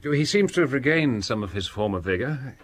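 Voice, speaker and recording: An actor playing a polite, reasonable gentleman scholar with an English accent in a radio drama, soothing in manner. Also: medium pitch, animated, a little fast, slight background noise, natural voice. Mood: sympathetic.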